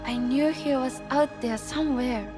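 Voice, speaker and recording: An actress playing a young woman who longs to find her father, in a video game cutscene, speaking slowly and earnestly. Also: sits high. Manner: slow, earnest, pleading. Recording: game audio under loud music.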